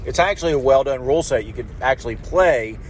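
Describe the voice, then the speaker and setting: A man of middling pitch leaves a voicemail from his car, with faint road noise behind him. He rambles casually, stumbling over word starts and repeating himself as he thinks aloud. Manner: halting, repeats words and restarts often. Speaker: podcast voicemail caller. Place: in a car in traffic. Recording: noisy voicemail from inside a car. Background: car and traffic noise.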